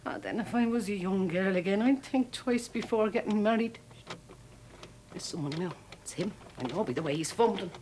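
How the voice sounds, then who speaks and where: A woman's voice: mid-range voice, normal speed, a little mellow, slight background noise, close to an everyday voice. An actress playing an Irish wife who gossips about her drunken husband and coaxes him. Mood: fretting. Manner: gossipy, coaxing, fussing.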